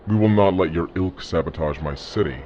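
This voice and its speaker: An actor playing a calm, commanding, threatening gang leader interrogating someone in an audio drama, speaking slowly and deliberately. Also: male voice, low-pitched, dull audio, a little hiss or hum, close to an everyday voice. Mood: smug threat.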